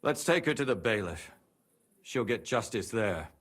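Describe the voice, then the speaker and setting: A man's voice: lowish voice, expressive, normal speed, a bit bright. An actor playing a principled, troubled man in a video game drama, reasoning earnestly and urgently for the law. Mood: earnest pleading.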